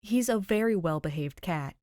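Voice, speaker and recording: A woman's voice: lowish voice, expressive, normal speed, dark tone, no echo, studio quality, close to an everyday voice. A woman voicing an easygoing, amused young man in an audiobook, playful and a little patronizing. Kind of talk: audiobook character voice, fan fiction reading.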